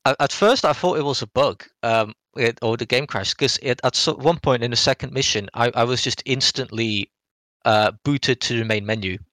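A man's voice: mid-range voice, sharp tone, no room sound. Hesitant man rambling through a game review. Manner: hesitant, halting delivery, often hedging and rephrasing. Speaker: podcast co-host reviewing game demos.

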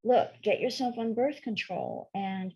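Middle-aged female therapist from France, bilingual, husky, frank storyteller. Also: a little high, unhurried, mellow, poor audio. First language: French and English, bilingual. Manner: frank, candid, matter-of-fact with hesitations. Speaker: sex therapist guest. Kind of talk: storytelling interview answers.

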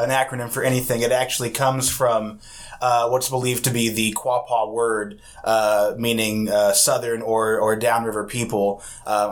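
A man who runs an arts festival, answering interview questions in an affable, rambling way, with plenty of fillers. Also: mid-range voice. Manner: affable, explains at length with many fillers. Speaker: arts festival executive director.

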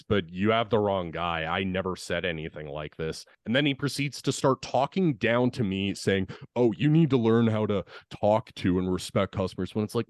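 Deep-voiced man venting a story. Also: no room sound. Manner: vents with frequent swearing. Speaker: podcast co-host. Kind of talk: casual storytelling.

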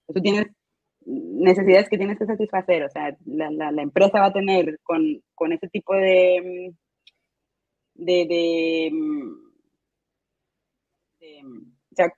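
Woman guest, thoughtful and halting explainer, recorded dull and dry. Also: fairly low pitch, darkish tone, dry recording. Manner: hesitant, many fillers and restarts, explanatory. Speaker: instructional designer guest. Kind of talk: casual interview answers.

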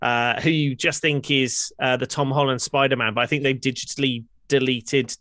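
A man hosting a chatty podcast, relaxed and full of uhs while he runs the call-ins. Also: medium pitch, moderate pace, no echo, clean studio sound. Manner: relaxed, says uh and um a lot while running the stream. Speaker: podcast host taking calls. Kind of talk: casual chat.

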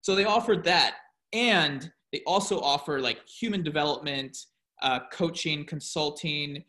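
A man's voice: a little high, measured pace, bright, forceful tone. A man speaking as a podcast guest, a professor who talks through his story in a reflective, explaining way. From United States. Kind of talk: casual interview talk.

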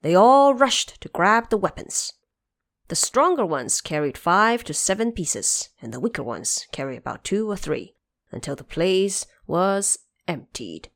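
Female narrator for fantasy audio story: measured pace, animated intonation, dramatic delivery, mid-range voice. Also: fairly low pitch. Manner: dramatic, expressive. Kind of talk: scripted narration of a fantasy tale.

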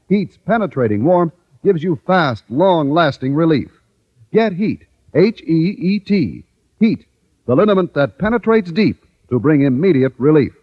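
A man's voice: fairly high pitch, breathy edge, muffled recording. A man working as an old-time radio announcer, reading scripted advertising and narration with emphatic polish.

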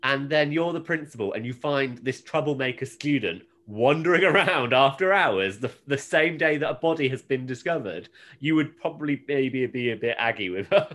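A podcast host recapping a TV episode in casual, jokey chat, retelling scenes in an animated way. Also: medium pitch, moderate pace, hardly any pauses.

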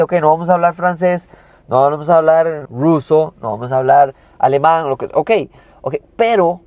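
Young Costa Rican man, film reviewer, pointed and emphatic, voice sits a bit high. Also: darkish tone. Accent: Costa Rican Spanish. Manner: emphatic, rhetorical questions to the viewer.

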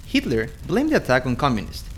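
Lively-voiced man reading a history podcast. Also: mid-range voice, brisk, slight background noise. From Ecuador. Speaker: podcast co-host. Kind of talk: scripted history podcast narration.

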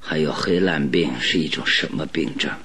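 An actor playing a gravely ill, stubborn detective in a radio drama, hoarse and breathy, speaking feebly and haltingly but sternly. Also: male voice, a bass voice, pushed and bright, exaggerated voice. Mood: weak, stubborn.

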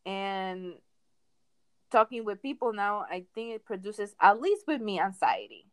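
A young Venezuelan woman with a Venezuelan accent talks in English, her second language after Spanish. She co-hosts the podcast, teasing her partner and guiding the conversation along. Her voice sounds dry and close, with no room echo, at a middle pitch. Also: expressive, measured pace. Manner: teasing, steering the talk. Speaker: podcast co-host. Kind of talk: casual chat.

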